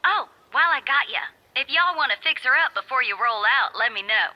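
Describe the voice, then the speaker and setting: An actress playing a friendly young garage mechanic in a video game, with a folksy, breezy Southern US accent. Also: female voice, high-pitched, animated, a little fast, lo-fi sound. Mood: upbeat. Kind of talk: video game cutscene acting.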